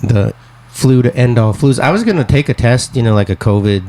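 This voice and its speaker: A man co-hosting a podcast in casual chat, slightly raspy as he gets over the flu, rambling along in a wry, self-deprecating way. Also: mid-range voice, animated, a little fast, warm tone, a little hiss or hum. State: getting over flu, occasional cough. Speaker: podcast co-host.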